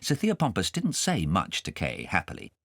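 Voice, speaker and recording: A man's voice: sits low, huge pitch swings, normal speed, creaky, fairly bright tone, no room sound, studio quality. A man reading a children's story as scripted audiobook narration.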